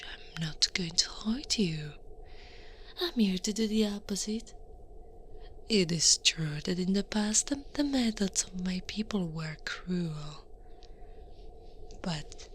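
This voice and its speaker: An Italian woman acts out a towering female Krampus for an ASMR roleplay. She whispers breathily and very slowly, stern but soothing, coaxing with quiet menace. Faint ambient noise sits behind the voice. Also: medium pitch. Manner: slow, deliberate, coaxing and menacing. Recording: noisy ambient background.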